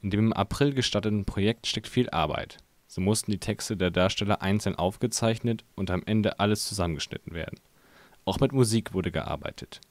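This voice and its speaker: Deep-voiced man narrating a news report. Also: no room sound. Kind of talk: scripted narration.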